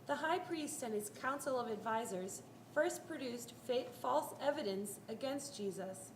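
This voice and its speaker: A woman's voice: fairly high pitch, lively intonation, unhurried. A woman narrating a scripted dramatic reading of a Bible story. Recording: roomy echo, faint background noise.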